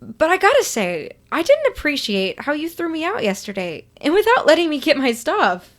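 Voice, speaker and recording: Woman acting a friendly, awkward rink worker, high voice. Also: wildly swooping pitch, moderate pace. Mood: mock scolding. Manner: hesitant, earnest, playful.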